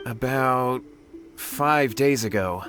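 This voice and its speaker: A man's voice: medium pitch, takes it slowly, music in the background, natural voice. A man playing an earnest, deferential young martial arts student in an English-dubbed anime video game, pleading and hesitant. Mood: worried.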